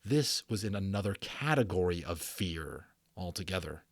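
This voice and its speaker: Low-voiced man reading a story dramatically. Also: moderate pace, no room sound, clean studio sound. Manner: expressive, emphatic, breaks off to explain to listeners. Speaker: audiobook narrator and channel host. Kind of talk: dramatic storytelling read-aloud with casual asides.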